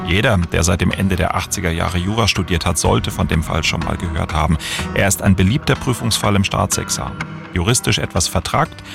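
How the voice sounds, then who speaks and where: A man's voice: low-pitched, brisk, gravelly. A man reading scripted true-crime narration for a podcast trailer. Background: music.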